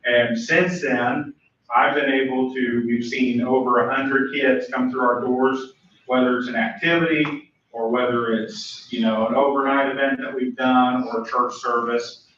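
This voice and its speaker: A young man with a booming voice, earnestly giving thanks as a youth pastor at a school board public comment. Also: steady tone, a little slow, a little hiss or hum. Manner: earnest, grateful, loud speaking voice. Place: large meeting room. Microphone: room mic from far off. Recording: echoey, dull, distant room recording.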